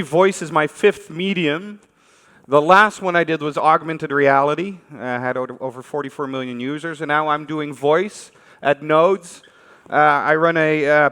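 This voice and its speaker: A man's voice: on the high side, fairly bright tone, smooth. Dutch-accented man speaking in a reverberant hall. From Netherlands. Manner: conversational, addresses listeners with questions. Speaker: conference speaker. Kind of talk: informal conference talk to an audience. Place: large conference hall. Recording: reverberant hall stage recording.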